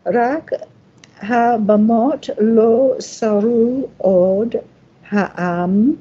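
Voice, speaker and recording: Woman reading scripture, husky mellow voice. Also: a little high. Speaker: scripture reader. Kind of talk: liturgical reading of scripture and blessings. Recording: dull, muffled lo-fi audio.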